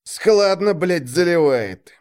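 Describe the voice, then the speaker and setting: A man's voice: high-pitched, lively intonation, darkish tone, pitched above the performer's own voice. Man acting a mean, commanding bandit leader. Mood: measured, suspicious. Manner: gruff, commanding, measured. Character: seasoned mean half-drunk bandit leader in his thirties.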